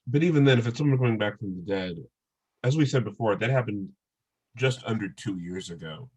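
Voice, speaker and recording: A man with a lowish voice co-hosting a podcast. He keeps a straight face while he jokes, relaxed and conversational. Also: brisk, husky, highs cut off. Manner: deadpan joking. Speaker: podcast co-host. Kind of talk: casual chat.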